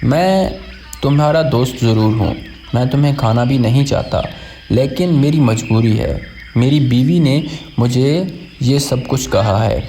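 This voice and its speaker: Man voicing an earnest, torn crocodile, mellow. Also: mid-range voice, a little slow, faint music behind, natural voice. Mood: apologetic. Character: loyal but torn male crocodile friend.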